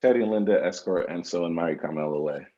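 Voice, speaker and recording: A man's voice: low voice, fast talker, dark tone. A man reading scripted stage directions as narrator, in a slightly lo-fi recording. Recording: slightly dull, lo-fi sound.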